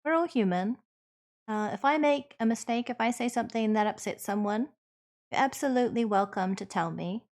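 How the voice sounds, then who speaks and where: A woman with a New Zealand accent, streaming and chatting with viewers in an earnest, reassuring way, pausing with ums as she thinks. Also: a little high, a little mellow. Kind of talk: casual chat answering viewers.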